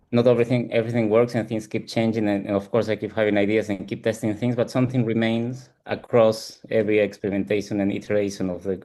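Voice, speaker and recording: Male coach as interview guest, Peruvian Spanish accent, lowish voice, thinking aloud in halting, explanatory answers. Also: a little mellow. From Peru. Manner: halting, reflective, often ends with "right?". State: frequent throat clearing. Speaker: ADHD coach and support group founder, interview guest.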